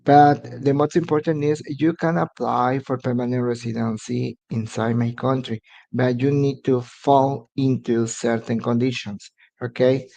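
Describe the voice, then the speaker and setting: A man from Mexico, a native Spanish speaker with a Mexican accent, explaining immigration steps on a live stream in halting English full of fillers. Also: mid-range voice, little pitch movement, creaky, warm tone. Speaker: immigration advisor.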